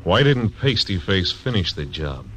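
An actor playing a tough frontier marshal who narrates dryly and speaks tersely, in 1940s radio acting style. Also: male voice, low-pitched, sing-song, measured pace, fairly bright tone, slight background noise, full character voice. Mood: wary, dry. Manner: terse, dry, laconic. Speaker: narrator and lead.

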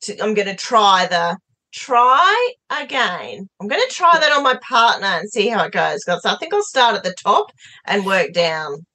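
Woman podcast host, casual, lively swooping delivery. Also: mid-range voice, normal speed. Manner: enthusiastic, gushing, casual. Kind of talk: interview conversation.

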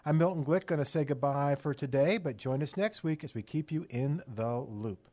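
A man's voice: a little high, normal speed, dark tone, soft background music. A man hosting a radio interview, introducing his guest and addressing listeners. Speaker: radio show host.

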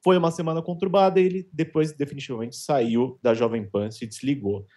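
A man giving a measured news roundup as a columnist on a talk show. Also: mid-range voice, steady tone, mellow. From Brazil.

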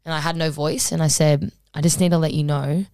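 A woman, co-hosting a podcast in a relaxed, rambling way with lots of ums. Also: sits low, a little fast, no echo, studio quality. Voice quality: husky. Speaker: podcast co-host. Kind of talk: casual podcast chat.

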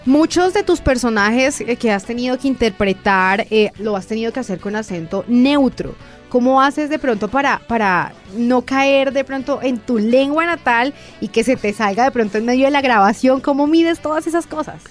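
A woman's voice: on the high side, normal speed, faint music behind. A woman with a Colombian accent hosting a friendly, informal interview in a chatty conversational way. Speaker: interviewer, host.

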